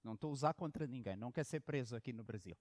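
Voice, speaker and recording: A man from Angola who is a pastor, preaching a sermon in the European manner, telling stories and swinging from loud emphasis to hushed asides. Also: medium pitch, rapid-fire, no echo, studio quality.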